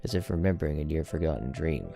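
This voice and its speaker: A man narrates an audiobook in a very deep voice with heavy vocal fry. His serious, dramatic reading moves through a wide range of pitch. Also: expressive, quick pace, mellow, soft background music.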